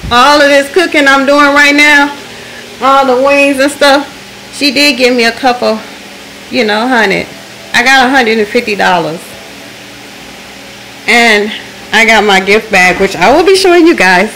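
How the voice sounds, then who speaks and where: A woman's voice: fairly high pitch, measured pace, long pauses, sharp tone. Chatty woman in her forties over sizzling oil. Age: 40s. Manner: chatty, talks to viewers and comments. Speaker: live-streaming home cook. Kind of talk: casual live-stream chat while cooking. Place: home kitchen indoors. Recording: noisy phone live stream with frying sizzle. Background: sizzling oil from frying wings.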